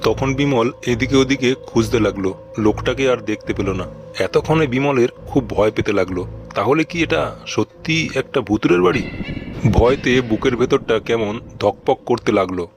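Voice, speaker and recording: A man's voice: mid-range voice, moderate pace, over music. A man narrating a scripted horror cartoon story. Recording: noisy recording with background ambience.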